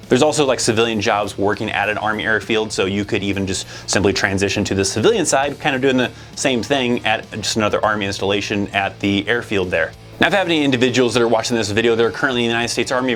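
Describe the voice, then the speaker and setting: Male explainer host, lowish pitch, rapid conversational delivery, light music bed. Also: fairly bright tone. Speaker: video host. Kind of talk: informational explainer to camera, conversational.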